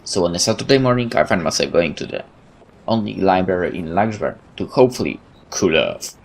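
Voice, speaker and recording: A man's voice: deep voice, animated, fairly quick, creaky. A man reading a visual novel aloud as a let's play host, with informal muttered asides and interruptions to himself.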